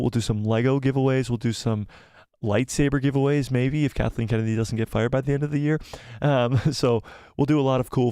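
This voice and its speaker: Excitable young man chatting on a live stream. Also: mid-range voice, no echo, studio quality. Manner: excitable, rambling, laughs often. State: losing his voice. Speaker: YouTube channel host. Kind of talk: casual live stream chat reading comments.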